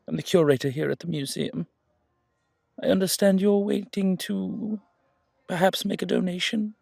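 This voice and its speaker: A man playing an effusive, flattering, flirtatious museum curator in a roleplay audio drama, genteel and gushing, with hesitant pauses and repeated words, in a husky, creaky voice. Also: high-pitched, no echo, studio quality, full character voice. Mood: gracious, welcoming.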